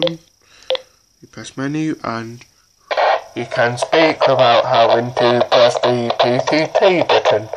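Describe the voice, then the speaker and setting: A man giving an informal hands-on tutorial in a halting, repetitive way, often checking in as he goes. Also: medium pitch. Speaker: presenter of a tutorial. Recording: noisy homemade recording.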